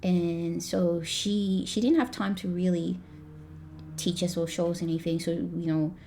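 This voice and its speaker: A woman's voice: lowish voice, steady tone, measured pace, dark tone. Chatty woman with a mixed accent. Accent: mixed Australian, British and American. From Malaysia. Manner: chatty, laughing, frequent fillers and restarts. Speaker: podcast guest. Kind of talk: casual chat.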